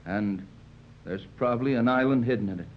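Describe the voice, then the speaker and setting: An actor playing a confident American adventurer-inventor in a 1930s radio serial, measured, authoritative and fatherly. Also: mid-range voice, lightly acted. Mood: intense, assured. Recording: muffled, noisy 1930s radio recording.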